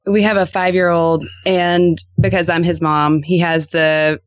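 Animated, casual woman storyteller, close and dry. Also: on the low side, measured pace, dry recording, dull audio. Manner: animated storyteller, peppers talk with "like". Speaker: podcast guest. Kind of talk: casual, anecdotal chat.

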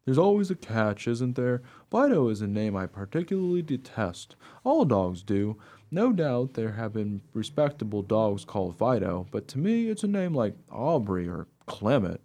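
A man's voice: medium pitch, big pitch swings, normal speed, dark tone, lightly acted. A man voicing a wry, good-natured mongrel dog who narrates his own story conversationally in a radio play.

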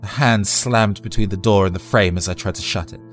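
A man's voice: on the low side, a little fast, faint music behind. A man narrating a scripted first-person horror story in a dramatic, tense storytelling manner.

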